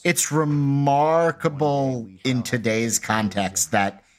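A man giving casual commentary as a show creator, reflective and somewhat halting. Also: medium pitch, fairly even intonation.

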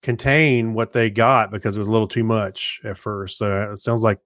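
A man's voice: on the low side, fairly even intonation, moderate pace, fairly bright tone, dry recording. A man co-hosting a podcast in casual chat, with loose, hesitant phrasing that tends to trail off. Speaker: podcast co-host.

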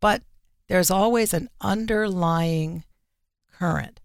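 A woman talks as a radio interview guest in a plainspoken, emphatic way. Her voice has a gravelly creak and the recording is dry, with no room sound. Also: lowish voice, takes it slowly, no room sound. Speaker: magazine editor-in-chief, interview guest.

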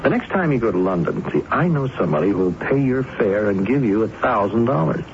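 Radio drama role: casual, persuasive scoundrel, expressive delivery, old hissy radio sound. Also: male voice, medium pitch, fairly quick. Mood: coaxing. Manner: glib, coaxing, casual. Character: smooth-talking, breezy scoundrel tempting a man into crime. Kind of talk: 1970s radio drama acting. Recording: muffled vintage radio, clear hiss.

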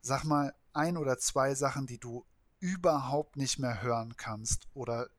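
Relaxed male interviewer, dry sound. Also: medium pitch, measured pace, no echo. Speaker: interviewer, host. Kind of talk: casual interview questions.

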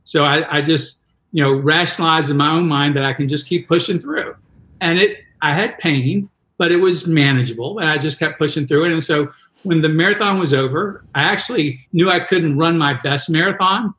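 A man's voice: a little high, normal speed, fairly bright tone, reverberant. A man in his sixties, a triathlon coach, telling a self-deprecating story with many restarts in a podcast interview. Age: 60s.

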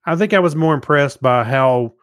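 A man co-hosting a podcast, chatting casually in an easygoing, slightly halting way. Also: on the high side, animated, fairly bright tone, no room sound, clean studio sound. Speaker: podcast co-host.

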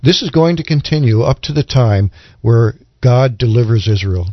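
A man's voice: medium pitch, lively intonation, normal speed, no echo. Guy on a bible podcast panel, explains stuff earnestly, dry kinda dull audio. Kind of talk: conversational Bible discussion.

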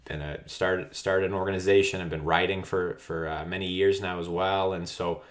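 Deep-voiced devout podcast host. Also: male voice, little pitch movement. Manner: halting, many fillers and restarts, devout. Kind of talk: podcast host intro and interview.

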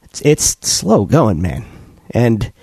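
A man co-hosting a podcast, still congested from a cold, rambling through animated stories with many restarts. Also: mid-range voice, slow, gravelly, slightly rough, dry recording. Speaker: podcast co-host. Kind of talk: casual chat.

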